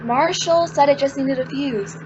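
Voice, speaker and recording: A woman's voice: high voice, measured pace, noisy background. A woman playing a pert, defensive teenage daughter in an old-time radio sitcom, with a matter-of-fact delivery. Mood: innocent, defensive. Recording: muffled recording with vehicle sounds.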